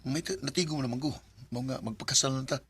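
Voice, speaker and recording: An actor playing an earnest, devoted young village suitor in a Bisaya radio drama, speaking with a Cebuano Filipino accent. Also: male voice, mid-range voice, quick pace, fairly bright tone, a little hiss or hum, close to an everyday voice. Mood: tender, eager.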